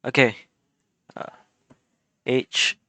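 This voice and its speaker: A man's voice: extremely slow, no echo. A man livestreaming a video game, chatting casually to himself and his viewers in a rambling way, often repeating phrases. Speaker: game live streamer. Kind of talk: casual livestream chat while gaming. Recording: loud game music behind voice. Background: video game music and instrument notes.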